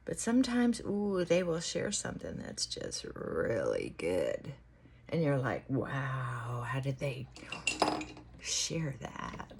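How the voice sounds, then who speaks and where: A woman vlogger talking in casual, rambling chit-chat, reflective and halting, often trailing off and circling back. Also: lowish voice, husky.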